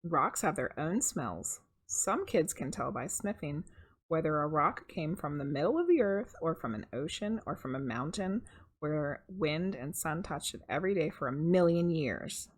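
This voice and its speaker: Husky, expressive woman reading a storybook. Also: sits low, normal speed. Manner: expressive, conversational, chuckles at own asides. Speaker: host reading a picture book aloud. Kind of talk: children's story reading with casual asides to viewers.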